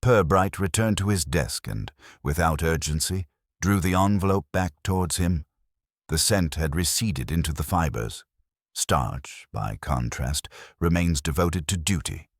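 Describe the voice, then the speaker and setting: Very deep-voiced man narrating, dry and measured. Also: no echo. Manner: dry, measured, wryly understated. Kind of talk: scripted literary audiobook narration of a cosy mystery.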